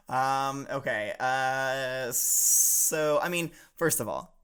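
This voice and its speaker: A man playing a good-humored professor at the front of a mock classroom. His pitch rises and falls a great deal as he reads out letters and banters with his students. He hesitates often with fillers and laughs easily. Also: a bit bright, smooth. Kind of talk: comedic improvised class roleplay.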